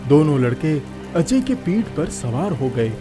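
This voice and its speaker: A man's voice: a little high, sing-song, a little slow. Male storyteller over background music. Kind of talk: scripted children's story narration.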